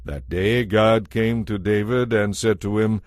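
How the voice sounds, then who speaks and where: A man narrating scripture from a script in a measured, solemn manner. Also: fairly low pitch, dry recording, clean studio sound.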